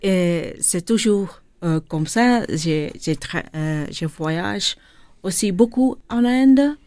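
A hesitant woman with a Flemish accent, her voice fairly low. She speaks slowly, pausing to search for words. The studio sound is dry and close. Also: animated, a little mellow, dry recording. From India, raised in Flanders. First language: Dutch. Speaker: guest, charity director. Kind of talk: radio interview.

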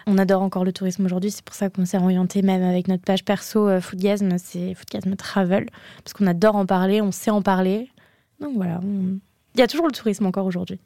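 A woman in her twenties, a podcast guest speaking casually and eagerly, often pausing on fillers. Her tone is mellow and warm at a middle pitch. The recording is close and dry. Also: fairly even intonation, no echo. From Andorra. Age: 20s. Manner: hesitant, many fillers, enthusiastic. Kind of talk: casual interview chat.

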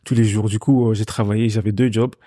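Mellow-voiced Ghanaian man telling stories. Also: lowish voice, fast, no room sound, clean studio sound. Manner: relaxed storytelling, many fillers and repeated phrases. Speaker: music artist guest. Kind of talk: casual conversation.

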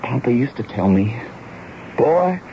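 An actor playing an earnest, pleading teenage runaway from Louisiana in a 1970s radio drama, speaking haltingly and emotionally. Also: male voice, mid-range voice, sing-song. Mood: grieving. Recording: dull, noisy old radio broadcast recording.

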